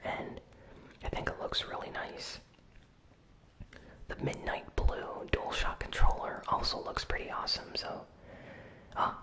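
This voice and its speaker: A person whispering a casual ASMR gaming news recap in a breathy, unvoiced, soft-spoken and informal way. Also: poor audio. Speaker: host of a gaming news show.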